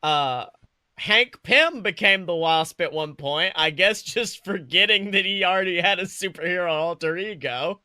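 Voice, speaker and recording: A man co-hosting a casual movie review chat, talking in an excitable, slangy way with casual swearing. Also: high-pitched, normal speed, clean tone, no room sound, clean studio sound. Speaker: podcast co-host.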